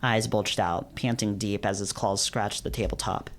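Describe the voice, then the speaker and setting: A man playing the grave, hushed narrator of a creeping horror story in a visual novel. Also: fairly low pitch, steady tone, normal speed, a little hiss or hum, voice pushed down, close to an everyday voice. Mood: ominous.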